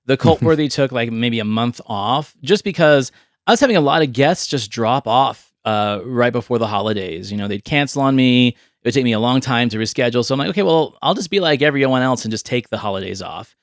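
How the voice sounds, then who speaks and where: Male podcast host, mid-pitched, chatty and explanatory, casual talk, dry recording. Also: lively intonation, a little fast, no echo.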